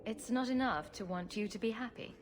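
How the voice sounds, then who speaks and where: A woman playing a sarcastic, quick-witted rogue heroine in a video game, with a wry manner. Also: on the high side, lightly acted. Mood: gentle probing. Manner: wry, flippant, teasing. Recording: faint background ambience noise.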